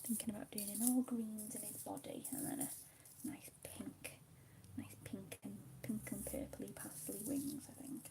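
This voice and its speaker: A woman chatting casually with her viewers while she colours, speaking softly, often half in a whisper and a little hesitant. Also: mid-range voice, fairly even intonation, moderate pace, gravelly, low-quality recording. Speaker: livestream host.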